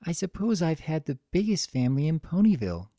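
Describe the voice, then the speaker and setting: Aging female pegasus, done by a narrator, raised pitch, gentle yet firm, storybook fantasy. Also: very animated, a little fast, no echo, clean studio sound. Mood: chatty. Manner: gentle, earnest, firm when crossed. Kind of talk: fan fiction dramatic reading.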